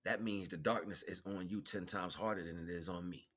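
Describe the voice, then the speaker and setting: Male spiritual teacher, fairly low register, emphatic and colloquial, unscripted lecture with repeated key phrases. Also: fairly even intonation, fairly quick, a bit bright. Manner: colloquial, checks in with rhetorical questions. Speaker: spiritual teacher, livestream host. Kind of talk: improvised spiritual lecture, streamed.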